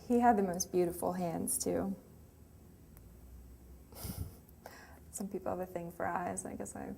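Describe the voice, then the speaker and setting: A woman's voice: medium pitch, warm tone. An actress playing a grieving young woman remembering her lost sweetheart in a hesitant, emotional, confessional monologue. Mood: tender, nostalgic. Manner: hesitant, emotional, with trailing phrases. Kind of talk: dramatic monologue, confessional and conversational. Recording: clearly noisy room ambience.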